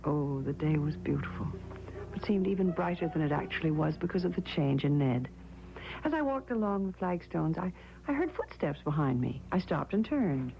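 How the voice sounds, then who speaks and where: An actress playing an anxious, inquisitive, devoted wife in an old radio drama, expressive and earnest. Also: female voice, low-pitched, moderate pace, gravelly, exaggerated voice. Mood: reflective, uneasy. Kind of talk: old-time radio drama acting with first-person narration. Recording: dull old radio with music.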